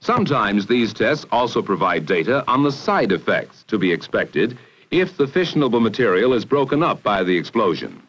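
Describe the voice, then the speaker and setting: An American man narrating a 1960 educational film in a formal, measured, authoritative way, on a vintage film soundtrack. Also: on the low side, sing-song, fairly bright tone, low-quality recording. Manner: formal, measured, authoritative delivery with emphatic phrasing.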